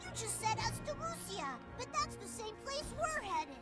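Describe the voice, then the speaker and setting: Squeaky, feisty little companion creature. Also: female voice, big pitch swings, normal speed. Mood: wary surprise. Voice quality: squeaky, childlike. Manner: brash, indignant. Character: small feisty squeaky flying companion creature, fiercely loyal. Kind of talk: English-dubbed anime game acting. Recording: game audio under loud music.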